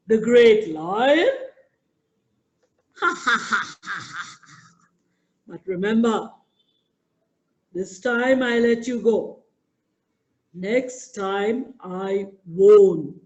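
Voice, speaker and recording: A woman voicing a big, proud male lion who scolds and mocks slowly and loudly. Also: low-quality recording. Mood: mocking. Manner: slow, booming, mocking.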